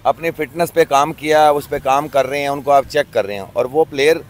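A middle-aged club cricketer from Pakistan giving his views outdoors in a casual interview, earnest and often pausing on fillers. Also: fairly high pitch. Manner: earnest, many hesitation fillers. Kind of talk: opinionated casual talk. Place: outdoors by a park road. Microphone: handheld mic.

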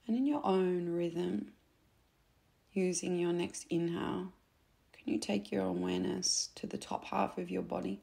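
A woman guiding a meditation in a soft, gentle, unhurried way, with phrase endings that turn breathy. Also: on the low side, steady tone. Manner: gentle, unhurried, instructing softly with pauses. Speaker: meditation guide. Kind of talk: soothing guided meditation narration.

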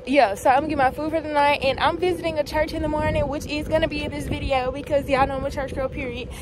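A woman's voice: sits high, fast talker, background noise. A young college woman, chatting expressively to her phone camera in a casual vlog. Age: young adult. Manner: chatty and expressive, Southern slang. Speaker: college student vlogger. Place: campus, car and outdoors, mixed with voice-over. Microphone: phone held at arm's length.

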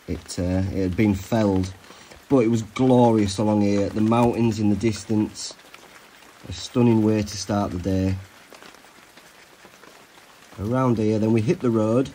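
A man's voice: fairly low pitch, warm tone, slight background noise. A man, vlogging casually on a long hike, chatting in a tired, rambling way and laughing often. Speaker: hiking vlogger. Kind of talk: casual vlog chat and map recap. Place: outdoors on a country road and moorland. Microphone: camera held at arm's length.

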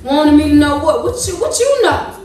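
A woman's voice: high-pitched, very animated, normal speed, distant mic. A woman on stage playing a feisty, nosy, incredulous aunt with exclamatory, scolding, sing-song delivery. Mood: nosy, exasperated. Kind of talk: broad comedy stage play acting. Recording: echoey theater from afar, loud music.

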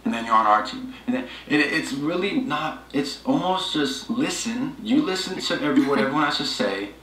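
A man co-hosts a loose chat from a room at home, emphatic and a little repetitive. His voice is bright and forward, and the room gives it an echo with faint hiss behind. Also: medium pitch, lively intonation, normal speed. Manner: emphatic, loose, repeats himself. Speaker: co-host. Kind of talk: casual chat. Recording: roomy room sound.

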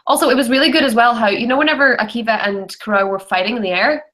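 A woman's voice: on the high side, fast talker, a bit bright. Chatty young woman. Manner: chatty, frank, uses "like" often. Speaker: book club panelist. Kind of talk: casual book discussion. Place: bedroom, indoors, on a video call. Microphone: computer mic on a video call.

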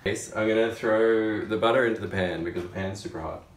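A young man with a low, deep voice talks casually while he cooks, rambling through stories with lots of fillers. He is recorded in an echoey home kitchen, a few feet from the camera mic, with some background noise. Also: steady tone, brisk, recorded from a distance. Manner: chatty, rambling storyteller with many fillers. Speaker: vlog host cooking. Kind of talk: casual cooking vlog chat.

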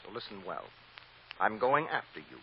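An actor playing a stern, righteous defense lawyer, deliberate and insistent, in an old-time radio drama. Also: male voice, on the low side, big pitch swings. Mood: relentless, forceful. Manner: deliberate, insistent, repeats phrases for emphasis. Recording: dull, noisy old radio recording.

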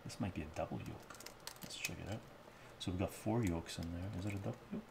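Middle-aged guy cooking on stream, low gravelly voice, chatty with viewers, loud noise behind. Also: fast talker. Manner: chatty, talks to viewers while working, frequent "right?". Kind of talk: casual live-stream cooking chat. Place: home kitchen, indoors. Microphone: fixed camera mic, moves toward and away from it.

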